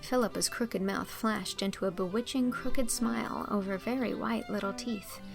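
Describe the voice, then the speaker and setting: A woman's voice: medium pitch, normal speed, soft background music. Woman narrating an audiobook expressively. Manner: clear, expressive storytelling.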